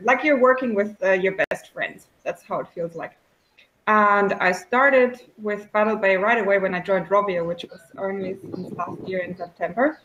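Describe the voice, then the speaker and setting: A woman from Germany chats through interview questions, giggling often and filling gaps with ums. Her voice is mid-pitched and carries a noticeable room echo. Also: normal speed, fairly bright tone, highs cut off, slight background noise. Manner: chatty and giggly, many fillers. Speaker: game developer community liaison. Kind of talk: casual interview answers.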